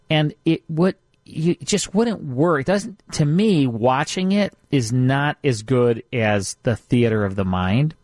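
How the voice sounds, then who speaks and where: A man's voice: mid-range voice, a little mellow, dry recording, clean studio sound. A middle-aged man, reminiscing casually as a radio host in an enthusiastic, talkative manner. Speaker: radio show host and producer.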